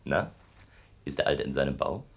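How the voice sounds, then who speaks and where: Cocky young male student, lively and low. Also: moderate pace, heavily acted. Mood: cheeky. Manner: brash, flippant, mocking. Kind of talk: German radio play acting.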